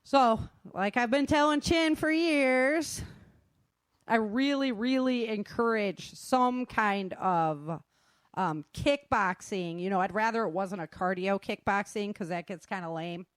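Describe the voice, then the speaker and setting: A woman's voice: medium pitch, measured pace, clean tone, no room sound, clean studio sound. A middle-aged woman talking informally as a self-defense instructor, acting out remarks as she tells stories. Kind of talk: casual informal talk.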